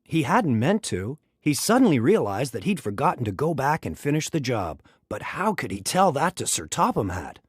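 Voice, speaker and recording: A man reading a children's story aloud in an expressive, dramatic storytelling manner. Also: mid-range voice, moderate pace, hardly any pauses, breathy edge, dry recording, studio quality. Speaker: storybook reader.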